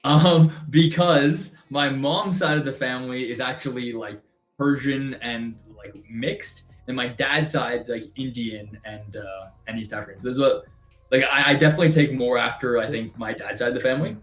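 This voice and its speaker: A man's voice: mid-range voice, moderate pace, a bit bright. Rambly young canadian guy chatting on a stream, laughs a lot, echoey room w faint music behind. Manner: chatty, laughs often, filler-heavy rambling. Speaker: trading stream co-host. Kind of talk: casual live-stream chat. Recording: roomy sound with faint music.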